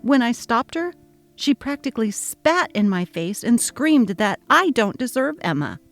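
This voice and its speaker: Woman reading as a worn-out young new mom, earnest, a bit high voice, quiet music behind. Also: big pitch swings, unhurried. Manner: tired, earnest, pleading. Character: exhausted young new mother. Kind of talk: dramatic reading of a text-message story.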